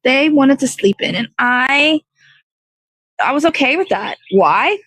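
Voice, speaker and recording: A woman's voice: high-pitched, lively intonation, a little slow, fairly bright tone, no echo. A woman hosting a casual livestream outdoors, chatting in a meandering way as she thinks aloud. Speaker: host of an online morning show. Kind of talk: casual rambling livestream chat. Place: outdoors in the cold.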